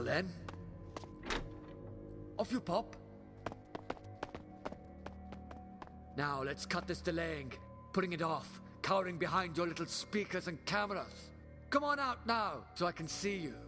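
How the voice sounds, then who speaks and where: Righteous alien adventurer played by a man, fairly high-voiced, commanding and sardonic, audio drama with prominent music. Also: animated, moderate pace, exaggerated voice. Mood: defiant, sardonic. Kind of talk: fan audio drama acting. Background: loud dramatic music.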